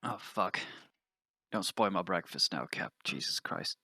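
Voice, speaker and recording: Sour, sarcastic starship scientist played by a man, low-voiced and dry, grumbling tabletop roleplay. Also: lively intonation, measured pace, vocal fry, pushed and bright, breathy edge, no room sound, full character voice. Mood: grumpy, sarcastic. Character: grumpy, foul-mouthed male ship's scientist who hates androids.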